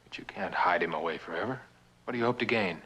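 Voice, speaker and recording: A man's voice: low-pitched, fast talker, sharp tone, husky, exaggerated voice. An actor playing an earnest, probing male friend, pointed and insistent, in an old suspense drama. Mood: urgent concern. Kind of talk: old radio and television suspense drama acting. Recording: noisy vintage drama recording.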